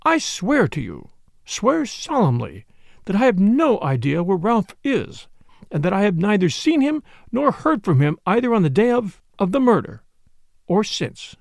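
A man's voice: high-pitched, huge pitch swings, moderate pace, hardly any pauses, breathy edge, no echo, clean studio sound, pitched above the performer's own voice, exaggerated voice. A man voicing an earnest, resolute young woman in a storybook, speaking solemnly and emphatically.

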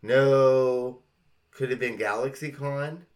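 A man's voice: medium pitch, steady tone, slow pace, darkish tone. A man co-hosting a casual podcast chat, reminiscing with emphatic, gushing, sweary remarks. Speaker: podcast co-host.

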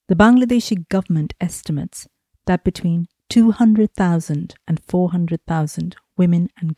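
A woman hosting a podcast, reading a measured, earnest introduction and then interviewing a guest. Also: lowish voice, warm tone, no echo, clean studio sound. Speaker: podcast host.

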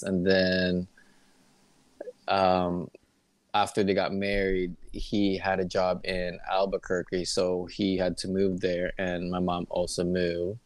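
A man's voice: low voice, little pitch movement, slow pace, no echo. A man from Kansas City, Kansas, chatting as a podcast guest in an easygoing, hesitant way. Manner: easygoing, hesitant, laughs often. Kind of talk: casual interview chat.

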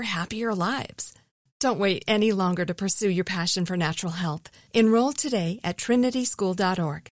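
A woman giving a scripted ad read, smooth and even-paced. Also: medium pitch, no echo, clean studio sound. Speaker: ad reader.

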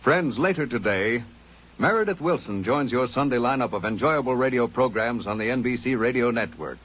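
A man's voice: mid-range voice, fairly quick, a little hiss or hum. A male network announcer reading polished scripted promotions. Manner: polished, lively announcer delivery. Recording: dull old radio broadcast.

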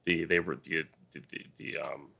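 A man co-hosting a casual podcast book discussion, speaking in a halting way that often circles back on itself. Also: extremely low pitch, steady tone, gravelly, sharp tone, breathy edge, highs cut off. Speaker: podcast co-host.

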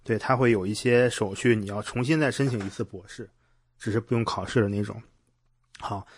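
A man's voice: on the low side, fairly even intonation, fairly quick, a little hiss or hum. A man hosting a podcast, asking questions in a casual conversational way. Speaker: podcast host, interviewer.

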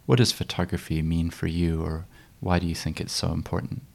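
A man hosting a podcast interview, asking questions in a relaxed way and often murmuring agreement. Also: low voice, fairly quick, creaky. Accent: mixed, not typical New Zealand. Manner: affirming, frequent murmured agreement. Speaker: podcast host. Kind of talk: casual interview conversation.

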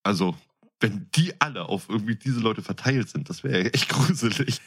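A man's voice: on the low side, animated, normal speed, a bit bright, slightly rough. A man co-hosting a podcast in casual, colloquial chat. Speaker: podcast co-host.

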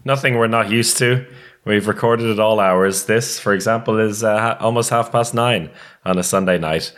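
A man's voice: on the low side, normal speed, fairly bright tone. A man hosting a casual sports podcast, chatting with his co-hosts. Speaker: podcast host.

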